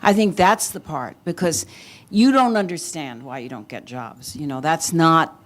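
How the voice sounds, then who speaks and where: A woman's voice: sits low, measured pace. An older woman, a former child actor, reminiscing in an interview.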